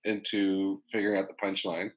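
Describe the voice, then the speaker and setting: A man's voice: sits low, completely flat delivery, moderate pace, low-quality recording. A man with a cold, sounding stuffed up, hosting a casual podcast and laughing often as he runs a joke game. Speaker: podcast host.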